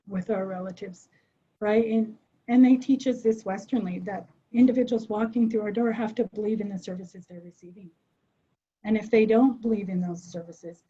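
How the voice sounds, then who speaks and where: A woman's voice: medium pitch, dark tone, breathy edge. A woman presenting on a webinar in an earnest way, with frequent "um" fillers as she thinks aloud. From United States. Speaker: behavioral health clinician presenting. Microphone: video call. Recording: muffled webinar audio.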